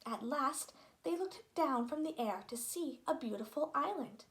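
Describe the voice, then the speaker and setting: A woman reading a children's story aloud as an expressive narrator. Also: sits high, a little slow. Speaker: storybook reader.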